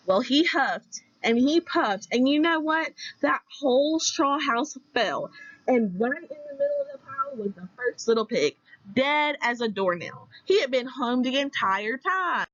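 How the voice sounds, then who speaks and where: A woman narrating a scripted storybook tale in an expressive, conversational way. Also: high voice, measured pace, no room sound, muffled recording.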